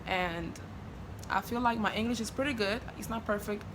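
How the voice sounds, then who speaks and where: A woman's voice: mid-range voice, normal speed, background noise. A young woman from Honduras with a Spanish accent, chatting casually to her camera with lots of fillers and restarts. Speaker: vlogger.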